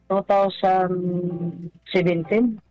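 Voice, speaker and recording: High-pitched man calling in, halting speech. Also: fairly even intonation. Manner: halting, stumbles and repeats words. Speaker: caller on a radio advice show. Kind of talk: hesitant personal storytelling. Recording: dull lo-fi call, music behind. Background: background music.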